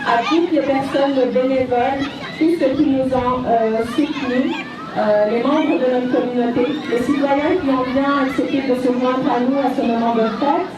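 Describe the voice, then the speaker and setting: A woman organizer giving a closing thank-you speech at an outdoor festival, slow and halting, with many fillers. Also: sits high, steady tone. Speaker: event organizer. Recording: echoey PA from far off, crowd noise.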